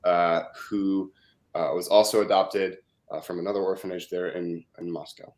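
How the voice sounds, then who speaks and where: A man in his twenties from Russia, answering interview questions in a hesitant, halting way with many fillers. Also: low-pitched, little pitch movement, a little mellow. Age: 20s. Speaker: interview guest.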